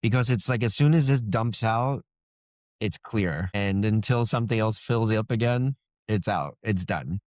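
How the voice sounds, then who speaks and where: A man's voice: fairly low pitch, normal speed, a little mellow, no room sound, clean studio sound. A man speaking casually as an author being interviewed on a podcast. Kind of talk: casual chat.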